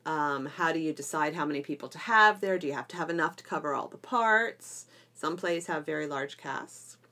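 A woman hosting a casual educational podcast, chatting in an animated way and quick to laugh. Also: sits low. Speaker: podcast host and homeschool teacher.

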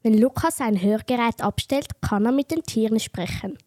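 A woman's voice: a little high, normal speed, warm tone, no room sound, clean studio sound. A woman reading scripted narration for a children's radio play.

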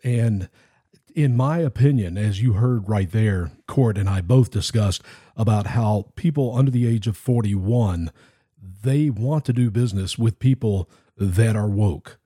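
A man hosting a live political talk show in an audio room, speaking in a steady, deliberate way. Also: on the low side, husky, no room sound, studio quality. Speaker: host.

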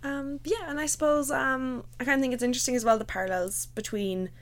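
Fast, chatty woman. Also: sits high, steady tone. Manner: chatty, lots of "like" and "you know". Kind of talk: casual chat.

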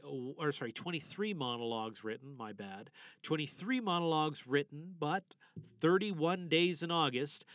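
Male podcast host, casual and enthusiastic, slightly higher-pitched voice, emphatic delivery, very dry sound. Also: bright, forceful tone, no echo.

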